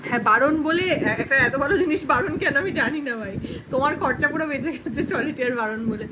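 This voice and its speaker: High-voiced West Bengali woman, teasing, noisy. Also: fairly quick. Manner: teasing, exclamatory. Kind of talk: casual family chat. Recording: loud background noise on location.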